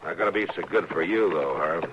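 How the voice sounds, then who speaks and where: A man playing a deep, steady frontier marshal. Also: natural voice. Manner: calm, dry, measured questioning. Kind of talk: 1950s radio drama acting. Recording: old radio broadcast, dull and hissy.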